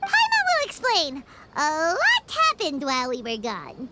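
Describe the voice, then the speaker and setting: A squeaky, chirpy little floating creature. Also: female voice, huge pitch swings, unhurried, very noisy, heavily acted. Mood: excitable. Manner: chirpy, bouncing exclamations. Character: tiny floating female companion creature, chatty and excitable. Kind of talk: video game voice acting.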